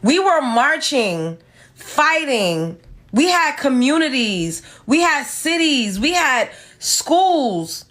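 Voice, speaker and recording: A woman's voice: high voice, sing-song, takes it slowly, fairly bright tone. A woman from the United States delivering a sassy, emphatic opinion rant to her viewers, lecturing as she reads and reacts. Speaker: commentator reacting to clips.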